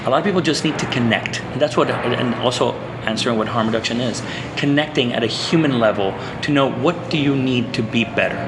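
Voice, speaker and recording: A man's voice: medium pitch, noisy background. A man from Puerto Rico, a social psychologist, talking earnestly as a guest in an interview, with frequent restarts and fillers. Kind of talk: conversational interview.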